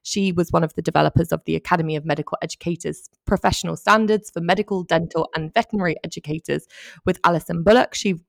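A woman co-hosts a podcast, reading a guest's biography and then chatting with enthusiasm. Her voice is lowish and carries noticeable vocal fry. The sound is dry, with no room echo. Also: quick pace. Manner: enthusiastic, effusive praise. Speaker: podcast co-host. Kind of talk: reading a guest bio, then casual chat.

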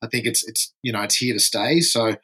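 Bright-voiced man from France, rambling. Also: medium pitch, brisk, husky. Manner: rambling, repeats words while thinking. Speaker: podcast guest, surf environmentalist. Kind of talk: casual podcast interview.

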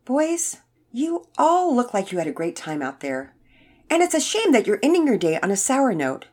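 A woman's voice: a little high, huge pitch swings, moderate pace, pitched above the performer's own voice. Woman reading as a patient, kindly father. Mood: gently reproving. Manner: gentle, reasoning, patient. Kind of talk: children's story character voice.

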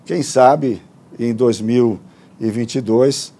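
A man with a Brazilian Portuguese accent giving a brisk formal presentation with hesitant restarts. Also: mid-range voice, mellow. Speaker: conference speaker.

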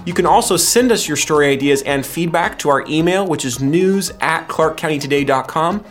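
Male local news anchor, slightly high voice, scripted delivery. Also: moderate pace, soft background music.

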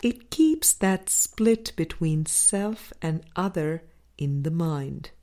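Slow, low-voiced woman reading an audiobook. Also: animated, warm tone. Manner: measured, deliberate phrasing with frequent pauses. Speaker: audiobook reader. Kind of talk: scripted audiobook narration of a spiritual dialogue.

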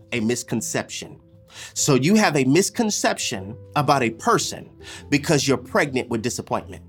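A preacher with a mid-pitched voice delivers an impassioned sermon. The delivery is emphatic and repetitive, moving between forceful exhortation and hushed, deliberate lines, with music underneath.

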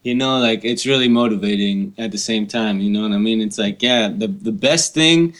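A man's voice: fairly low pitch, normal speed, fairly bright tone. A man hosting a casual podcast chat, talking informally with slang and frequent filler phrases. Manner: informal, slangy, repeats "you know" and "like".

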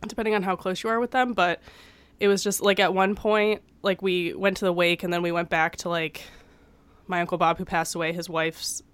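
A young woman in her twenties chats on a podcast while getting over a cold. Her voice sits a little low and comes through smooth and clear. She rambles along with plenty of fillers, close to the mic with a dry sound. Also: fairly even intonation, a little fast, a bit bright, no echo. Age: 20s. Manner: chatty, many fillers. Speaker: podcast co-host. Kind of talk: casual chat. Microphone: studio microphone.

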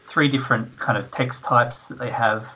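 A man working as a translator, explaining his work in a relaxed, casual interview. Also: mid-range voice, normal speed, lo-fi sound.

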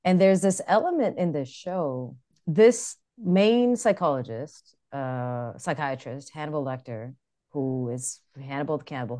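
A woman with an American accent, co-hosting a podcast in casual, animated chat. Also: low-pitched. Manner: chatty, animated storytelling with frequent laughs. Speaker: podcast co-host.

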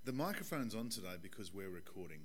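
A man in his forties, a pastor with a croaky, hoarse voice, giving a conversational and earnest sermon in a church. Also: lowish voice, very animated, fast, a bit bright. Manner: conversational, earnest preaching with fillers. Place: church hall.

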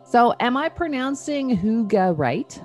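A woman's voice: on the high side, animated, slow, darkish tone, faint music behind. A woman hosting a show and chatting with a guest in an interview style. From Canada. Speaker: show host.

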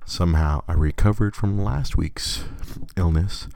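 A man hosting a casual live podcast while sick, with a congested voice and extra bass from his illness, chatting in a rambling, self-correcting way. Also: a little slow, creaky, warm tone, no echo, studio quality. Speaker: podcast host.

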